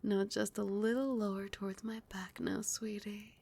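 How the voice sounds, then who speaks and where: Casting: female actor for regal vampire queen in ASMR roleplay, indulgent yet commanding mistress, low-pitched fry at the edges, breathy and whispery, formally coaxing. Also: level tone, darkish tone, slight background noise, natural voice. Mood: pleased, tender. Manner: slow, formal, coaxing pet names. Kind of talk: ASMR roleplay, intimate scripted acting.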